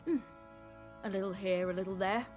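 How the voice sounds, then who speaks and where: A woman's voice: medium pitch, fairly even intonation, moderate pace, over music, natural voice. An actress playing a confident young wandering swordswoman in a dramatic video game, speaking in a cool, terse, teasing manner. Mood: guarded, wry. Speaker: voice actor in a game.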